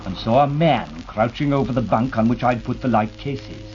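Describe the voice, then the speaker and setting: A man's voice: medium pitch, normal speed, darkish tone, slight background noise, lightly acted. A man playing a protective older brother. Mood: concerned. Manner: earnest, concerned. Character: caring grown-up brother Jim, protective. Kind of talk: 1940s radio acting. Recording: dull old radio recording.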